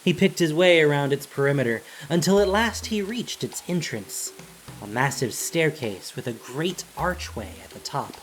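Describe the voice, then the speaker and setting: A man narrating a fantasy story as scripted audio drama, in a dramatic, deliberate storytelling manner. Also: fairly high pitch, lively intonation, rough recording. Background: music.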